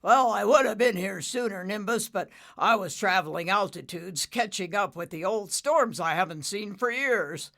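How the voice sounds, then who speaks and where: Cumulo, a woman doing a booming father cloud. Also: expressive, normal speed, creaky, hardly any pauses, a bit bright. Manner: booming, smug.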